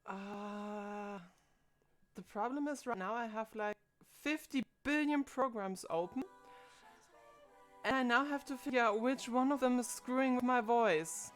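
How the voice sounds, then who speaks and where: A woman's voice: on the high side, unhurried, faint music behind. A woman in her twenties streaming live from home, chatting casually and thinking aloud into a desk microphone as she puzzles over her setup. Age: 20s.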